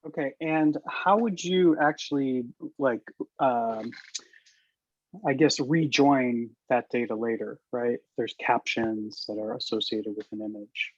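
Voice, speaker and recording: A man's voice: mid-range voice, a little slow, dry recording. A man hosting a webinar, relaxing into reading audience questions to the speaker. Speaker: webinar host. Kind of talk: moderating Q&A. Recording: dry, dull lo-fi mic.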